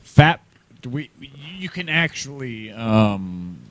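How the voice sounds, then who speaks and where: A man's voice: medium pitch, sing-song, slow pace. An American man co-hosting a radio show with casual, playful, mock-earnest banter. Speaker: radio co-host. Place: small community radio studio.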